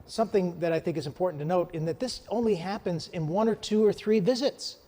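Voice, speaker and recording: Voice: mid-range voice, fairly quick, dark tone. Chatty interview host in a reverberant room. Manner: chatty, repeats words, reads contact details. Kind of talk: promotional interview.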